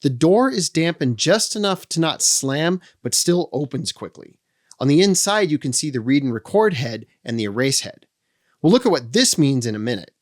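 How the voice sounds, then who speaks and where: A man's voice: on the high side, very animated, normal speed. A man hosting a product walkthrough, explaining features step by step with dry humorous asides. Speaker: host of a how-to channel on second-hand audio gear.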